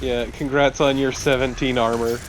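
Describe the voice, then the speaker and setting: A man's voice: medium pitch, level tone, moderate pace, slight background noise, poor audio. A man giving casual co-commentary on a video game, with an opinionated, teasing way of talking. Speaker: let's play co-commentator.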